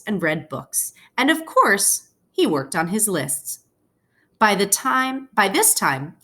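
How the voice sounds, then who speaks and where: A woman teacher reading a picture book aloud as a storybook narrator, phrasing deliberately with pauses for young listeners. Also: mid-range voice, a bit bright.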